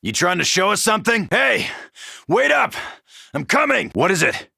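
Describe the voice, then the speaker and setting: Gravelly, cocky American soldier. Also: male voice, high voice, sing-song, moderate pace, bright, forceful tone, dry recording, studio quality, exaggerated voice. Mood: urgent, brash. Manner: brash, wisecracking, swaggering, foul-mouthed. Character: tough cocky American soldier, gung-ho zombie-slaying marine. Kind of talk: video game character barks.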